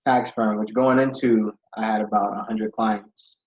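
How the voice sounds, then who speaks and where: A man's voice: on the low side, fairly even intonation, unhurried, darkish tone. A young man from Ghana, a podcast guest who tells his story in a rambling, casual way with many fillers. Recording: dull lo-fi audio.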